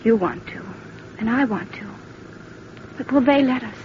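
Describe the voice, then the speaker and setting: An actress playing an anxious, devoted young sweetheart, earnest and insistent, in an old-time radio drama. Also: female voice, a little high, normal speed, natural voice. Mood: anxious, pleading. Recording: muffled, noisy vintage radio recording.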